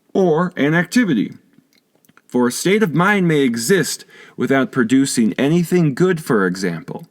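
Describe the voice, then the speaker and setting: A man narrating a philosophical text as an audiobook, in a measured, formal reading manner. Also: medium pitch. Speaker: audiobook reader.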